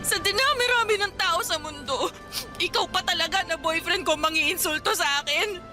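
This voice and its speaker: Very high-voiced, tearful betrayed girlfriend. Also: normal speed, over music. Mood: wounded, crying. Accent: Filipino. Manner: accusing, pleading with repeated questions. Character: hurt, angry young woman confronting her cheating boyfriend. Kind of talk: radio drama acting.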